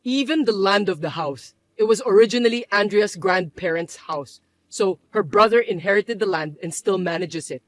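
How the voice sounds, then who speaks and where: A woman voicing a calm adult son and husband who explains things to his mother in a firm, patient way. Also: medium pitch, normal speed, close to an everyday voice. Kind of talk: dramatized story voice acting.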